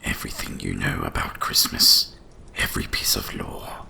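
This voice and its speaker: A man voicing an ancient, wise dragon guardian of winter in a slow, solemn, gravelly whisper for an ASMR roleplay. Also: little pitch movement, bright, forceful tone, exaggerated voice. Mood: grand, revealing. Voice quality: deep gravelly breathy whisper. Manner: slow, solemn, deliberate delivery.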